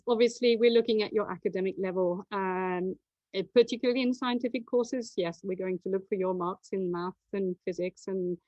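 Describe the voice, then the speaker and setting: A woman's voice: medium pitch, a little mellow, dry recording, muffled recording. A woman hosting an admissions webinar over a video call, presenting slides in a conversational way with many fillers and restarts.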